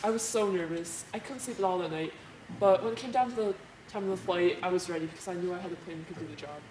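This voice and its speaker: A woman's voice: on the low side, quick pace, a little mellow, natural voice. A woman playing a modest young male aviator hero in an amateur mock news interview. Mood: earnest, modest. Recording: roomy distant mic, faint background noise.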